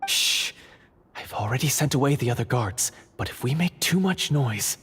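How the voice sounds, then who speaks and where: A man playing an earnest undercover dungeon guard in a fantasy video game, speaking gravely, formally and urgently. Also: mid-range voice, animated, moderate pace, fairly bright tone, natural voice. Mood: hushed urgent. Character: earnest undercover male dungeon guard, secret monster hunter. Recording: long reverb, faint noise.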